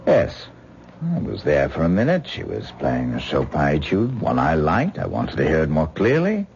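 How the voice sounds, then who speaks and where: A man's voice: a bass voice, wildly swooping pitch, normal speed, gravelly, heavily acted. An actor playing an intense, earnest man who lectures his wife on astral projection in a vintage radio drama. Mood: sleepy, defensive. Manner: dreamy, earnest, lecturing, gently condescending. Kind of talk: 1970s radio drama acting. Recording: muffled old radio broadcast with faint hiss.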